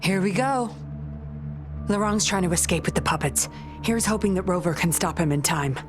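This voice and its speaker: An actress playing a calm, sharp-tongued young woman in a video game. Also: fairly low pitch, moderate pace, music in the background, close to an everyday voice. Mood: composed.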